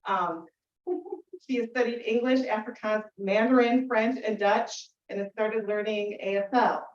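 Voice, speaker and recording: A woman's voice: mid-range voice, steady tone, a little slow. Woman host reading a bio aloud, enthusiastic, many fillers, echoey room. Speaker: event host introducing a reader. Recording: echoey room, far from mic, dull sound.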